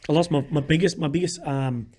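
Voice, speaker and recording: A young man with a croaky, crackly voice, hosting a podcast as casual, blunt chat full of swearing. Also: a little high, a little fast, darkish tone. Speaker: podcast host.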